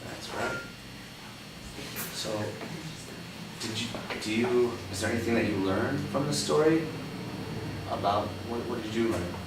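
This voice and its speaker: A man's voice: medium pitch, unhurried, long pauses. Patient man reading to kids, echoey. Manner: patient, coaxing, checks in with "right?". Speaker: storyteller reading aloud to children. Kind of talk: picture-book read-aloud with questions. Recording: echoey room, distant mic, background noise.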